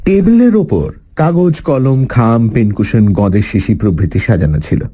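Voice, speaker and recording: Animated male detective-story narrator. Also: medium pitch, a little slow, muffled recording. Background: background music.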